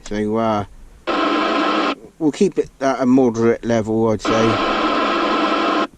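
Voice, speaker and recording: A man's voice: lowish voice, fairly even intonation, takes it slowly. A man giving a casual hands-on demonstration to viewers in a hesitant, rambling, informal way. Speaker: vlogger demonstrating a CB radio. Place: inside a car. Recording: noisy car interior, radio hiss. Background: loud radio hiss and static.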